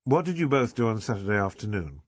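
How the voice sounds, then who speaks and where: Man reading as a calm, quiet inspector. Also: fairly low pitch, fast talker, dry recording. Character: calm male police inspector, dry and polite.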